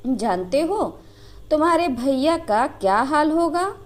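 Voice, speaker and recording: A woman's voice: high-pitched, lively intonation, slow pace, pitched above the performer's own voice. A woman storyteller playing a playful young village wife who banters coyly but stays loyal. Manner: coy, bantering.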